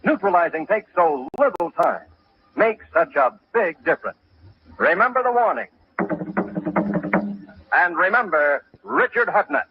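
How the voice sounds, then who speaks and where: A man's voice: high voice, sing-song, a little slow, creaky, long pauses, background noise. A man announcing from a script in mid-century radio style, formal and emphatic, on a thin old broadcast recording. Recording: thin, narrow-band vintage radio recording.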